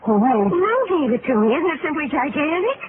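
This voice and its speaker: A woman's voice: high-pitched, very animated. An actress playing a gushing, girlish, money-minded young office worker in a 1950s radio drama. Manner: girlish, gushing, halting when sentimental. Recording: tinny old-time radio with faint noise.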